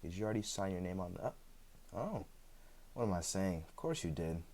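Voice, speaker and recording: A man's voice: low-pitched, sing-song, brisk, warm tone. A man playing a chatty, sheepish immortal in a roleplay audio drama, talking in a hesitant, conversational way with dry humor. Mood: musing, amused. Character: chatty, sheepish, evasive immortal man, freshly back from death.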